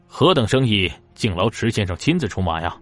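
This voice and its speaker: Low-voiced, officious gate commander. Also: male voice, very animated, fairly quick, fairly bright tone. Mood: affable, probing. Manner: formal, authoritative questioning. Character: watchful, officious male city-gate military commander. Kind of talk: audiobook drama acting. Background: music.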